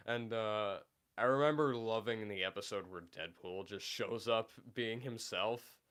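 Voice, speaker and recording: Deep-voiced young man chatting on a livestream. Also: clean tone. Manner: halting, many fillers and restarts, trailing off quietly. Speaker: livestream host. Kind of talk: casual livestream chat.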